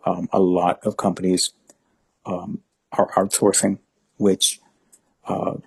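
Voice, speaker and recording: A man's voice: low-pitched, dark tone, slightly rough, no echo. A man in his fifties from New York with Spanish as his first language, talking in a casual interview and rambling with many fillers. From New York, family from Honduras. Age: 50s. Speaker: guest.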